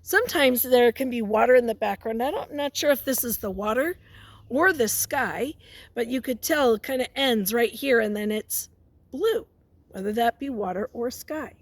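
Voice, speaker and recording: A woman's voice: fairly high pitch, animated, no echo. A woman teacher reading a picture book aloud to young children, stopping to ask them questions and prompt them. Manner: pauses to question and prompt listeners.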